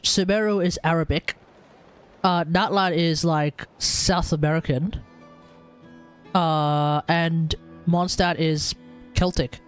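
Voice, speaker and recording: A woman's voice: on the low side, a little mellow. A woman streaming a game with casual, rambling chat, often hesitating and repeating words as she goes. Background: game music.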